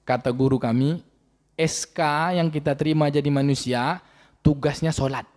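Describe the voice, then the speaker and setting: A man's voice: a little high, steady tone, normal speed, a little mellow. A man, preaching a sermon and questioning the congregation directly. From Riau, Indonesia. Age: 40s. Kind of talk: mosque sermon. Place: mosque hall. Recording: long mosque hall reverb.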